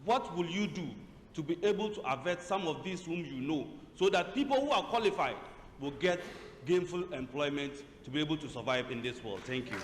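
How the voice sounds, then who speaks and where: A man's voice: on the high side, lively intonation. Emphatic Ghanaian man asking from hall floor. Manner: formal, emphatic and slow. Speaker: audience member asking a question. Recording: roomy hall with faint noise.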